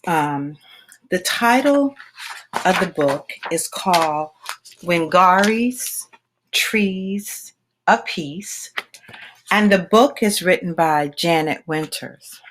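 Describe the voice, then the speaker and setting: A woman reading a children's picture book aloud in a deliberate, expressive storytelling manner with dramatic pauses. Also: fairly low pitch, a little hiss or hum, rough recording.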